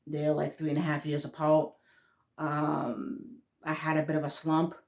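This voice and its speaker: Low-voiced woman with a Massachusetts accent. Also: monotone, a little slow, vocal fry, darkish tone. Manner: rambling, trailing off, restarts words. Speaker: spiritual vlogger. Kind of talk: casual rambling chat.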